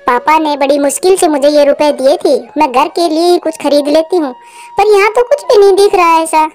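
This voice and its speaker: A woman's voice: sits high, fairly quick, darkish tone, soft background music, close to an everyday voice. A woman voicing a sweet, thoughtful young girl bird in a cartoon.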